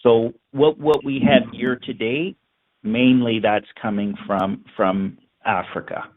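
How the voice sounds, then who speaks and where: A man answering analyst questions as a company finance executive, halting and often repeating his words. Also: medium pitch, fairly even intonation. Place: conference call. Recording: narrow, tinny phone line.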